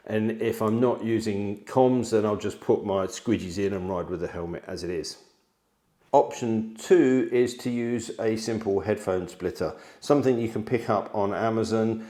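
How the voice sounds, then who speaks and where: Man, forties, lowish pitch, conversational product explainer, studio recording, close mic. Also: mellow. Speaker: product presenter. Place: indoor studio, seated at a table.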